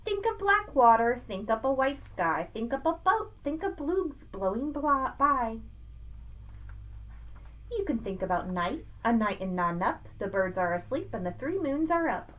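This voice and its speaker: High, lively woman teacher reading to kids. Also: measured pace, poor audio. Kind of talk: read-aloud lesson for children, rhyming picture book. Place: small room at home with bookshelves, indoors. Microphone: webcam at arm's length.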